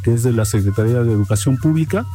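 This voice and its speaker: A man from Oaxaca whose first language is Mazatec, speaking Spanish with a Mexican accent as a guest artist in a radio interview, explaining calmly with filler pauses. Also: mid-range voice, faint music behind.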